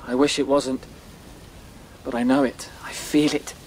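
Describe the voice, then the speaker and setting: Male actor as young rabbit prophet, radio play: hushed, haunted, mid-pitched, set in noisy outdoor ambience. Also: little pitch movement, a little slow, natural voice. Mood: apologetic, certain. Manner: hushed, intense, foreboding. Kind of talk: radio drama acting.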